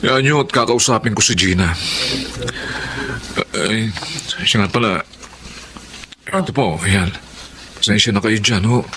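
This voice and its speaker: A young Filipino family man in a radio melodrama. He has a lowish voice and an earnest, appeasing manner, with noticeable background noise. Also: a little slow, pauses often, bright, forceful tone. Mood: placating. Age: young adult. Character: placating, generous husband, dutiful son and elder brother. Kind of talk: Filipino radio drama acting.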